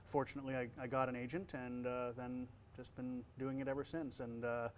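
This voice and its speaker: Young-sounding man, relaxed with fillers. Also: mid-range voice, brisk, a little hiss or hum, poor audio. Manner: relaxed, many fillers and restarts. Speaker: voice actor and college instructor. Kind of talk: casual interview answers.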